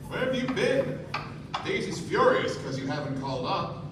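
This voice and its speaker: A man's voice: high voice, big pitch swings, moderate pace. A man acting a brash, blustery husband in a live radio play. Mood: brusque. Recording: echoey stage, distant mic, noisy room.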